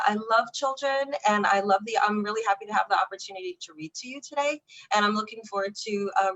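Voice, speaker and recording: A woman's voice: medium pitch, fairly bright tone, low-quality recording. A woman with an American accent speaking to children as a guest reader. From United States. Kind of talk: addressing children. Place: online video call.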